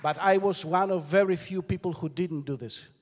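A man's voice: high voice, normal speed, a little hiss or hum. Blunt dismissive man in a big hall. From Poland. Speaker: panelist. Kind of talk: blunt panel debate. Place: large concert hall stage. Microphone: handheld mic. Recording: reverberant concert hall.

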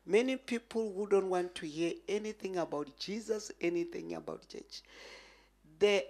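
A woman pastor preaching fervently into a handheld microphone at a large outdoor crusade, pausing line by line for an interpreter and rising to shouts. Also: medium pitch.

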